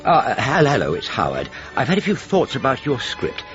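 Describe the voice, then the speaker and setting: A man's voice: mid-range voice, wildly swooping pitch, fairly quick, dull audio, music in the background. A middle-aged man playing a self-deprecating, bumbling ageing writer in a naturalistic radio comedy-drama, with a dry, hesitant, wry delivery. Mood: awkward.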